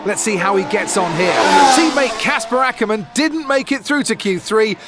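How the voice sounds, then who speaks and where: An actor playing a TV race commentator calling qualifying in a video game. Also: male voice, sits high, over loud music. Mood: excited. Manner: brisk, excited commentary. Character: energetic male TV race commentator.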